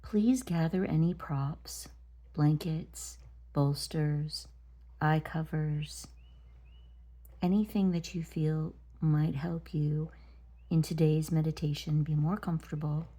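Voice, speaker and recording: A woman leading a guided meditation, speaking gently and deliberately in short phrases with pauses between them. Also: sits low, fairly even intonation. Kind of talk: guided body scan meditation.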